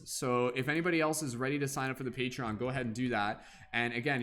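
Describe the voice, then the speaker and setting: A man's voice: mid-range voice, fairly bright tone. A young man hosting a casual tarot livestream, rambling with many fillers and humming to himself between comments.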